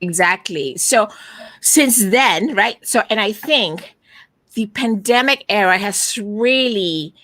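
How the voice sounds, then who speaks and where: Lively Malaysian-born woman, dry close sound. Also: mid-range voice, slow pace, no echo. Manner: animated storyteller, frequent "right?" tags. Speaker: guest, virtual event producer. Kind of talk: casual interview chat.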